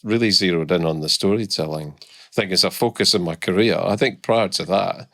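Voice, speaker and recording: Brief: middle-aged Scottish guest, very low gravelly voice, dry close mic, candid and reflective. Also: male voice, brisk, fairly bright tone, dry recording. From Scotland. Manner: reflective, candid, many hesitant restarts. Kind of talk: casual podcast interview.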